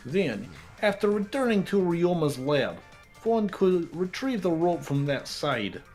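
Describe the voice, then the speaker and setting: A man voicing a calm, precise detective explaining things formally in an amateur anime game dub. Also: high-pitched, measured pace, faint music behind. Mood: composed.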